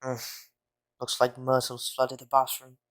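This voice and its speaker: A woman's voice: extremely low pitch, monotone, unhurried. A woman playing a plucky, teasing young boy wizard in an audio drama, with a dryly joking manner. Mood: puzzled.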